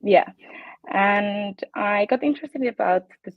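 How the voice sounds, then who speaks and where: A woman's voice: mid-range voice, unhurried, no room sound, highs cut off. A woman in her late twenties from Finland with a Finnish accent, chatting casually as a guest fitness coach in a bubbly way with plenty of ums. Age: 20s. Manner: bubbly, frequent ums and laughs. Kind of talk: casual interview chat.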